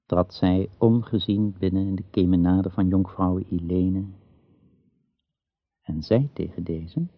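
A man narrating a radio drama in a measured, solemn, archaic storytelling style with a gravelly voice. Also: sits low, no echo. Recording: dull lo-fi old radio recording.

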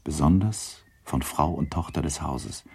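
A man narrating a literary radio drama in a measured, deliberate storytelling manner, with a gravelly, husky voice. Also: extremely low pitch, a little mellow, exaggerated voice. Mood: measured, descriptive. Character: measured narrator of a classic novel.

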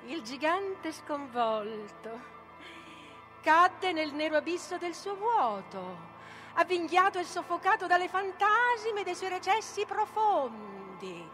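Vengeful tragic sorceress, high voice, drawn-out declamation, incantatory, theatrical stage monologue, swinging from hushed to loud. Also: female voice, sing-song, faint music behind, exaggerated voice. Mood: furious, exalted. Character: Medea, vengeful, savage, tormented sorceress of Greek myth.

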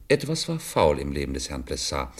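Casting: patient, shrewd commissioner, 1950s radio crime play. Low register, very animated melody, deliberate delivery. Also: male voice, heavily acted. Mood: explaining, assured. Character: male French police commissioner, shrewd, understanding, patient explainer. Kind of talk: 1950s German radio drama acting. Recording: 1950s radio drama studio recording.

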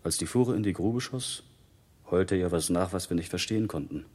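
An actor playing a weary gravedigger in a plague-era radio play, telling his grim memories in a sombre, measured way. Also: low voice, darkish tone, slightly rough. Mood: sombre recollection. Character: weary gravedigger of plague-time London, grimly recalling. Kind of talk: 1960s German radio play acting. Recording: long hollow reverb.

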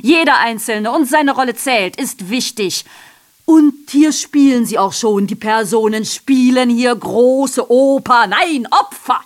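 A woman's voice: a little high, expressive, unhurried. Sardonic, declamatory female messenger. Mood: proud, declamatory. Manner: sardonic, declamatory, self-interrupting. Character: ironic, rambling female messenger addressing the audience. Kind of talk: theatrical radio drama monologue.

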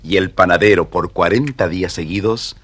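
A man narrating a scripted children's story in a measured, dramatic manner. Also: low-pitched, a bit bright, slight background noise.

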